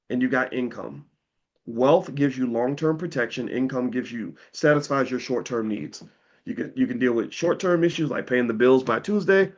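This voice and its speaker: A man with a slightly hoarse voice talks straight to his viewers in a casual, persuasive pitch. He speaks in plain terms, stumbling and repeating words as he goes. The audio sounds a little dull and lo-fi. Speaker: host pitching an investing course.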